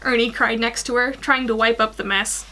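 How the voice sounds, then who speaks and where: A young woman in her twenties reading fan fiction aloud in an animated way, stumbling over the odd sentence. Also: a little high, moderate pace. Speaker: guest story reader. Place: small attic bedroom.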